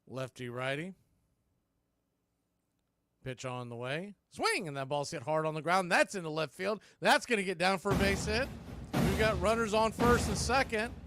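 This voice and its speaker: A man's voice: high-pitched, normal speed, a bit bright, no room sound. A man giving live play-by-play commentary on a baseball game as the radio announcer.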